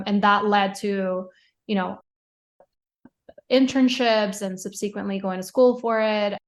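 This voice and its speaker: Flat-voiced young woman from Puerto Rico. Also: mid-range voice, measured pace. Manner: chatty, many fillers and restarts, laughs often. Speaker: podcast guest, fashion writer. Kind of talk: casual interview answers.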